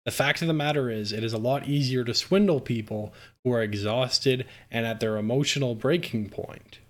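A man hosts an opinion segment on current affairs. His voice sits at an ordinary middle pitch, and he speaks earnestly, leaning on his points with emphasis. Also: normal speed. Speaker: host commentator. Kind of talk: opinion commentary on news.

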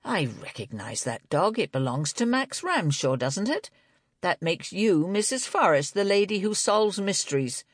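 A woman's voice: medium pitch, very animated, unhurried, slightly rough, dry recording, clean studio sound, voice pushed up. A woman narrator voicing a fussy, gloomy male librarian who grumbles and exclaims, in an audiobook. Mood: suspicious. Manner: grumpy, exclamatory, muttering.

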